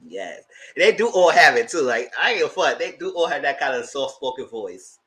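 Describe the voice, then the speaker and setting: A man with a light, high-pitched voice, chatting casually as host of a live documentary review and greeting viewers. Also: sing-song, a little fast, a bit bright. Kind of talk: casual live-stream commentary.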